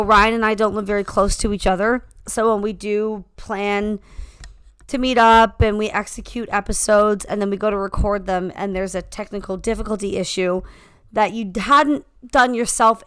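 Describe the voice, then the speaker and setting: A slightly hoarse woman co-hosting a podcast, chatting casually and telling stories with many asides into a handheld mic. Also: medium pitch, fairly even intonation, moderate pace, a bit bright, dry recording. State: slightly hoarse, recovering from laryngitis. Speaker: podcast co-host. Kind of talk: casual chat.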